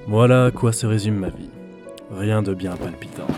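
Guy playing tired fed-up office drone, lowish voice, brooding monologue, music behind, audio drama. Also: moderate pace, close to an everyday voice. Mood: weary regret. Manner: brooding, sighing, muttering to himself. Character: weary, disillusioned male office worker, former gamer.

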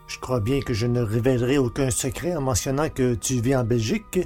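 A man's voice: mid-range voice, fairly quick, darkish tone, faint music behind. A man presenting and interviewing on a podcast in a scripted announcing style.

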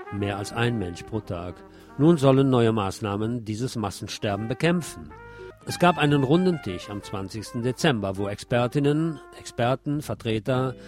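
A man's voice: medium pitch, darkish tone. Man presenting radio commentary over music. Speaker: radio host. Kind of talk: scripted radio commentary and news reading.

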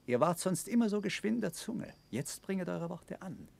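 An actor playing an earnest knight in a classical radio drama, counselling urgently with emphatic stage delivery. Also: male voice, sits high, big pitch swings, normal speed, gravelly, a little mellow, slight background noise, exaggerated voice.

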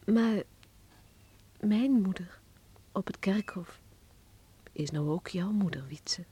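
Young rural sweetheart: mellow, very breathy, gentle and devoted, radio play style. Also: female voice, medium pitch, slow pace. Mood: hushed. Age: young adult. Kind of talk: radio drama acting.

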